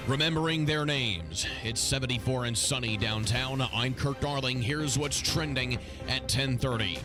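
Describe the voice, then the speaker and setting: A man's voice: medium pitch, moderate pace. Male radio newsreader over music. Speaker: radio news reader. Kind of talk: scripted news bulletin. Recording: studio voice over news music bed.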